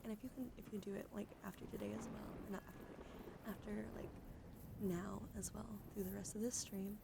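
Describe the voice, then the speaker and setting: A woman streams ASMR chat in a soft, mid-pitched voice with plenty of vocal fry. She often slips into a whisper and rambles along in an easygoing, apologetic manner. Clear background noise sits behind her voice. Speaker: livestream host. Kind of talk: ASMR livestream, casual chat.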